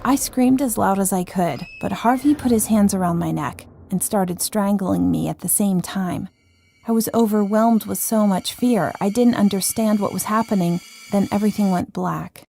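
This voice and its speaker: A woman tells a first-person ghost story from a script. Her voice is of medium pitch and has a noticeable creak to it. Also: normal speed, warm tone, a little hiss or hum. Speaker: story narrator.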